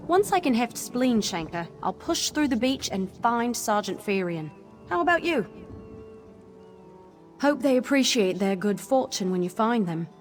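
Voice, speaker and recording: A woman's voice: fairly high pitch, very animated, a little slow, soft background music. A woman voicing a tough, wounded elf marine in a fantasy video game, blunt, grim and determined.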